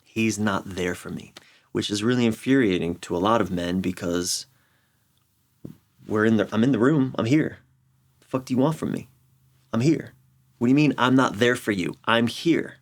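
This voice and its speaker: A middle-aged American man with a fairly low voice talks as an interview guest. He explains reflectively and candidly, often halting and repeating a phrase for emphasis. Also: lively intonation. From United States. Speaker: relationship coach, podcast guest.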